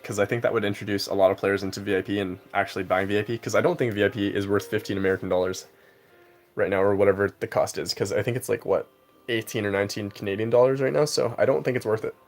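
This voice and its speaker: A young man with a somewhat low voice chats casually on a game stream, answering viewers in a relaxed, rambling flow. Faint game music plays quietly behind him. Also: quick pace. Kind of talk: casual live-stream chat while gaming.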